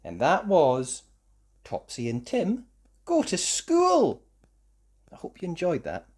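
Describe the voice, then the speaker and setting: A storyteller reading a children's picture book aloud in an expressive, animated way for young listeners. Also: medium pitch, slow pace, slightly rough. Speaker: children's story reader, host.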